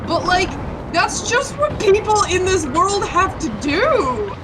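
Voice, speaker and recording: A woman's voice: extremely high pitch, very animated, slow, crowd noise, background noise. A woman in her late twenties chatting casually while playing a game, opinionated and ranting with plenty of swearing. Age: 20s. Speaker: gaming channel host. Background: game music.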